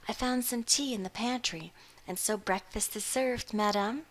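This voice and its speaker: A woman reading aloud voices a practical young woman who explains things briskly and matter-of-factly. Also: on the high side, pitched above the performer's own voice, natural voice, rough recording.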